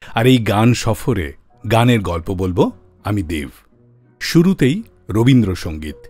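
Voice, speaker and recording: A man's voice: fairly low pitch, very animated, measured pace, darkish tone, faint music behind. A man hosting a radio show, telling scripted stories about classic songs.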